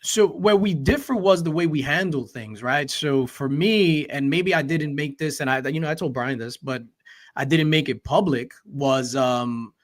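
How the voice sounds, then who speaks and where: A man's voice: fairly high pitch, moderate pace. A man hosting a livestream, earnestly explaining his side at length with fillers. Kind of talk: casual chat.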